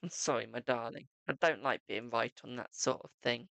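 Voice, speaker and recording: A man's voice: mid-range voice, normal speed, creaky, a bit bright, no room sound. A man giving a live-stream psychic tarot reading, chatting to viewers and calling them "my darling".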